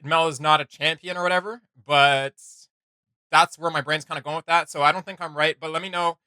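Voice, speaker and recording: A man chats casually as he reacts to game clips, thinking aloud and often restarting his sentences. His voice is very bright and a touch high for a man, recorded dry and close. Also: fairly even intonation, a little fast, smooth, no echo. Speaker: reaction video host.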